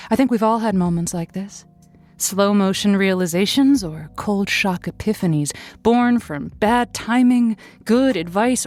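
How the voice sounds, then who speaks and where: An actress playing a wry, soothing host of a late-night radio call-in show, speaking in a deliberate, confiding way. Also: female voice, medium pitch, a little mellow. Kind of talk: scripted radio drama, intimate monologue and chat.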